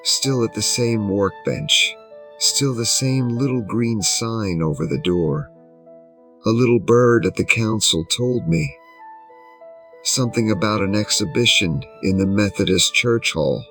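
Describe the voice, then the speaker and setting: A man voicing a smug, patronising English gentleman of sixty-one in an audio drama, pompous and effusive in his phrasing. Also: lowish voice, lively intonation, unhurried, soft background music, pitched above the performer's own voice. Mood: effusive, condescending. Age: older. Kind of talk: audio drama character dialogue.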